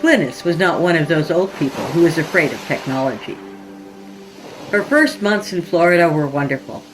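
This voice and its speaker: A woman's voice: deep voice, lively intonation, moderate pace, low-quality recording. A woman reading scripted horror fiction as a podcast narrator, in a dramatic storytelling style. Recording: music behind the voice. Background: music.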